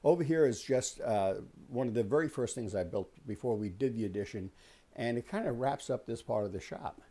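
A man in his sixties giving a casual, unhurried explanatory tour as the host, talking conversationally in a small indoor workshop. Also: mid-range voice, dark tone. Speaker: host of a woodworking shop tour.

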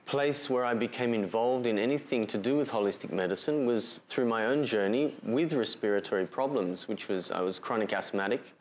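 A man in his thirties with an Australian accent, from Bali, giving a calm, measured livestreamed health talk to camera, seated indoors on a lapel mic. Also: medium pitch, a little hiss or hum. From Bali, Indonesia, and Australia. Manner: measured, calm explanatory delivery with pauses and fillers. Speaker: holistic health practitioner presenting a talk. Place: indoor room with shelf and framed photos. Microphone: lapel mic, seated facing camera.

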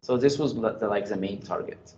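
A man fielding questions after a research talk, pausing often and restarting his sentences. The sound is roomy and a bit dull, as if captured through a lecture hall setup. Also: on the low side, darkish tone, slight background noise. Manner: hesitant, many fillers and restarts. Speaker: graduate student presenter. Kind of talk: answering audience questions. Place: lecture room. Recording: echoey, dull room sound.

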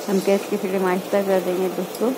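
A woman hosting a home cooking video, calmly explaining each step to her viewers in a home kitchen. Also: mid-range voice, fast talker. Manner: calm, instructive, addresses viewers as friends. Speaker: cooking channel host. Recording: loud kitchen noise. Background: frying sizzle and stirring pots.

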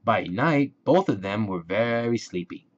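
A man reading a children's storybook aloud in a deliberate, expressive way for young listeners. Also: on the low side, highs cut off. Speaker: children's book reader.

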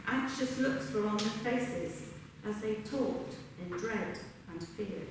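Older woman reciting in a very echoey hall. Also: mid-range voice. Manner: earnest, storytelling, measured rhyming cadence. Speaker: reader at a remembrance service. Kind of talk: poetry recitation. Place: large indoor hall with a congregation. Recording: very echoey hall, distant mic, background noise.

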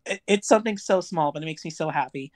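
A woman's voice: low voice, fast talker, darkish tone, no room sound. A chatty, effusive woman speaking casually as an audience member with a question. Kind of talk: casual live voice chat.

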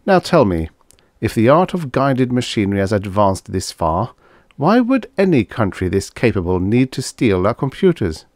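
A man's voice: fairly low pitch, big pitch swings, moderate pace, darkish tone, dry recording, clean studio sound, pitched above the performer's own voice. A man playing a bitter, sardonic American scientist in a story, with a blunt, wry, emphatic manner. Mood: businesslike.

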